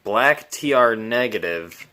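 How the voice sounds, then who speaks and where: A man giving casual, unscripted commentary as he repairs a vintage radio, thinking aloud in a halting, musing way. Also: medium pitch, lively intonation, sharp tone.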